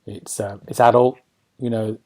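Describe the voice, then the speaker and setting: A man's voice: on the low side, little pitch movement, moderate pace, dark tone, low-quality recording. A man hosting a podcast, chatting casually about games and television in a rambling, enthusiastic way. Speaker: podcast host.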